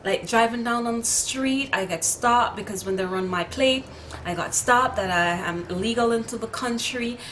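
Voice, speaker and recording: A woman's voice: a little high, normal speed, a little hiss or hum. A woman with a Jamaican accent telling a personal story to camera outdoors in a casual, rambling vlog style full of fillers and restarts. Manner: chatty, animated, many fillers and restarts. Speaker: vlogger. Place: outdoors near neighbors.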